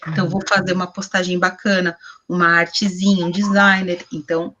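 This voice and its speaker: A woman's voice: on the low side, flat delivery, a little fast, fairly bright tone, muffled recording. A woman, teaching a workshop over a video call from a small room at home, chatty and explanatory, asking herself questions as she goes. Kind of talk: online class teaching. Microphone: laptop webcam on video call.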